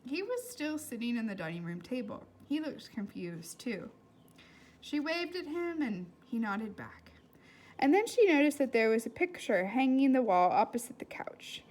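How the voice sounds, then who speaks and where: Expressive woman reading aloud, lively pitch. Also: normal speed. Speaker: read-aloud reader. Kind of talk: expressive storybook narration with casual asides and questions to listeners.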